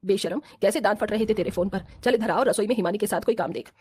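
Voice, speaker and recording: Woman acting a stern, fast-talking mother-in-law, slightly husky. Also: medium pitch, a little mellow. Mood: scolding, firm. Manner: scolding, firm, lecturing. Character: stern, righteous mother-in-law who scolds and decrees. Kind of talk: Hindi cartoon family drama acting.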